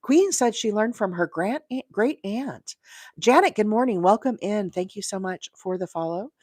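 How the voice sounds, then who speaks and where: A woman's voice: medium pitch, lively intonation, moderate pace, darkish tone, no echo, clean studio sound. A middle-aged woman hosting a casual craft livestream, chatty and thinking aloud as she talks to viewers. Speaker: livestream host and crochet demonstrator.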